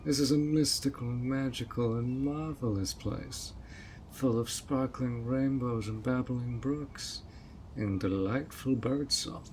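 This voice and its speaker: A man's voice: mid-range voice, darkish tone, slight background noise, natural voice. A man voicing a sweet but obsessive male fairy who coaxes a guest in an audio roleplay, with a honeyed, playfully sly manner. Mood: welcoming, delighted. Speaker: fairy host of a tea party.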